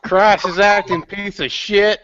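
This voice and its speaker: A man in casual live-stream chat, halting and stammering as he talks. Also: high-pitched, steady tone, fairly bright tone, a little hiss or hum. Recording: dry, dull lo-fi mic.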